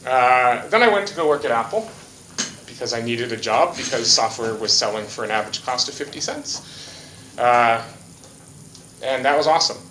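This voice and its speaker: Man, conference speaker, bright voice, casual and joking, roomy hall with faint hiss. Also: medium pitch, animated, moderate pace, long pauses. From Hawaii. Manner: casual, joking, many fillers. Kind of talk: conference talk. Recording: echoey hall.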